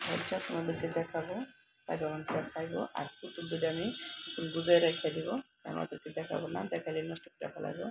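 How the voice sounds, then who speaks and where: A woman's voice: low voice, completely flat delivery, fast, gravelly. A woman with a Bangladeshi accent chatting casually and colloquially to viewers as she vlogs from home. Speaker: home vlogger and mother. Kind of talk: casual home cooking vlog chat. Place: at home, partly in the kitchen while frying. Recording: noisy home recording with clicks.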